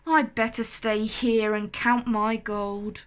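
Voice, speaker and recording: Woman voicing a high-pitched, sentimental storybook giant. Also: level tone, slow pace. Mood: sad, resigned. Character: lonely, gentle, sentimental male giant. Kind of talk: children's storybook character voice.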